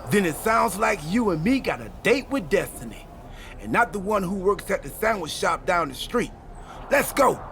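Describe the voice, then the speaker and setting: A high, gravelly, excitable goofball wrestler. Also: male voice, moderate pace, a little hiss or hum, heavily acted. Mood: excited. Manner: animated, earnest delivery of absurd ideas. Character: excitable, goofy, scatterbrained male pro wrestler with a time machine. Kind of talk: scripted video game cutscene dialogue.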